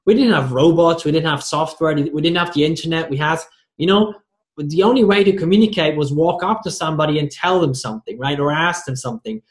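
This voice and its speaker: A man's voice: a little high, brisk. A man hosting a conversational interview, rambling along and often stumbling over and repeating his words. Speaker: podcast host interviewing a guest. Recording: roomy, echoey sound.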